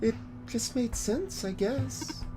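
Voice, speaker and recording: A high-pitched, gentle child adventurer from a video game, acted out by a man. The delivery is soft, hesitant and apologetic, full of innocent curiosity, with clear game music behind it. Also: animated, slow, exaggerated voice. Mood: unsure. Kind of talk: voicing dialogue of a video game.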